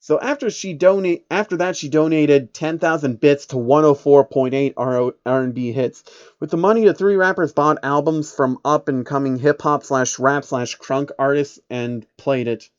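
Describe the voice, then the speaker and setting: A man with a fairly high-pitched voice reads aloud as an amateur storyteller. His delivery is informal and a little halting. The recording is dull and lo-fi, lacking crispness. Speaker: host reading fan fiction aloud. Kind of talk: amateur story narration with casual commentary. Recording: dull, lo-fi microphone sound.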